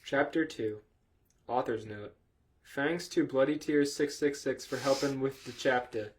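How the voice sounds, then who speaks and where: Male podcast co-host for comedic story read-aloud; medium pitch, informal and jokey. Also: unhurried, slight background noise. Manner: laughs often, jokey, foul-mouthed. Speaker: podcast co-host reading fan fiction aloud.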